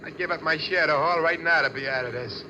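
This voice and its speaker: An actor playing a cowardly young bank robber, nervous and whining with an American accent, in 1940s radio style. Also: male voice, fairly high pitch, fast, natural voice. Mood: pleading. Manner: whining, nervous, stammering, pleading. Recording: old radio recording, faint vehicle noise.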